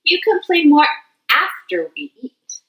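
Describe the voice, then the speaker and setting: A woman's voice: high-pitched, very animated, a little slow, fairly bright tone, voice pushed up, exaggerated voice, poor audio. A woman voicing a patient, loving grandfather in a children's storybook. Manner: patient, firm.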